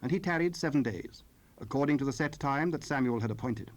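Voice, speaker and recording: A man narrating dramatized scripture in a solemn, formal, measured manner. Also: medium pitch. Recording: faintly noisy cassette rip.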